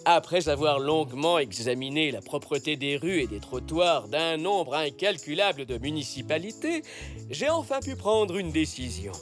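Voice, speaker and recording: High-pitched, pompous zebra judge. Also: male voice, big pitch swings, faint music behind. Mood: ceremonious. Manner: pompous, drawn-out announcing. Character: formal, ceremonious zebra contest judge. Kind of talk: French children's cartoon dubbing.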